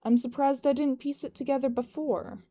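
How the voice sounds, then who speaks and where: A woman voicing an older male crime boss with a formal, teasing, unhurried manner in an audiobook-style story. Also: high voice, steady tone, mellow, voice pushed up. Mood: amused, smooth.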